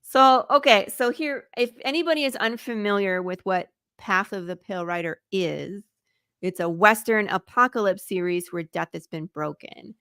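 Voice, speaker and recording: Bright, clear Midwestern woman. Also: medium pitch, moderate pace, no echo, clean studio sound. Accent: Midwestern American. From Michigan. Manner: chatty, laughs often, explains eagerly. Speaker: comic creator guest. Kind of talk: casual interview chat.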